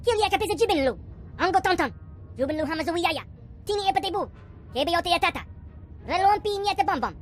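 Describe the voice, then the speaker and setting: An actor voicing a small excitable alien creature in a video game, squeaky and babbling in a made-up tongue. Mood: agitated chatter. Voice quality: squeaky, cartoonish. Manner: rapid chattering babble. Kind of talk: video game character acting. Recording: music behind the voice.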